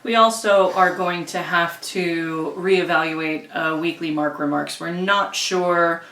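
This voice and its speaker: A woman's voice: fairly low pitch, fairly even intonation, slow, a bit bright. A woman giving a casual, conversational update to supporters as a co-host. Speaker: co-host and channel manager. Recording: echoey room, distant from mic.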